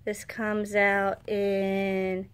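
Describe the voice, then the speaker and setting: A woman's voice: mid-range voice, completely flat delivery, very slow, clean tone. A woman hosting a book vlog, giving casual unboxing commentary in an informal, chatty way. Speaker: book blogger and video host. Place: carpeted room at home.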